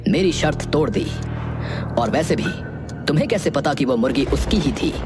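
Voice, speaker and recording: A man's voice: on the high side, lively intonation, normal speed, close to an everyday voice. A man playing a stern, authoritative village headman and father-in-law in a cartoon moral story. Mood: stern, reproachful. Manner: authoritative, firm. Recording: loud music under the voice.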